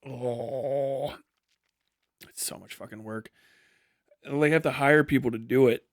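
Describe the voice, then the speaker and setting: A man's voice: mid-range voice, steady tone, a little slow, no echo, studio quality. A man hosting a livestream, chatting casually and answering viewers in a blunt, heavily profane way. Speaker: livestream host and commentator.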